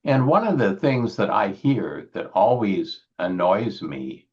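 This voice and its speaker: A man hosting a podcast, talking frankly in a measured way with frequent hesitations. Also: lowish voice, very animated, darkish tone. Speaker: podcast host. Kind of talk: conversational podcast talk. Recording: dull lo-fi audio.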